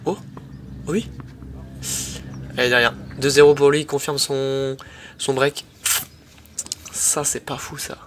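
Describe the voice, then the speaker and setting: A young man with a head cold, casually commenting on his own tennis match in slangy, excitable talk to his viewers. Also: mid-range voice, takes it slowly, pauses often, a little hiss or hum. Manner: slangy, excitable, talks to viewers as "les mecs".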